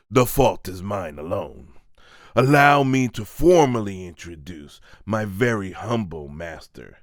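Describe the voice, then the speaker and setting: A servile henchman, played by a man in a manga dub, nervous and fawning. His lowish voice moves around a lot and sounds dry and close. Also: fairly bright tone, no echo, exaggerated voice. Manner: fawning, stammering when pressed. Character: obsequious, nervous male underling scheming for his master. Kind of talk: amateur manga voice-over.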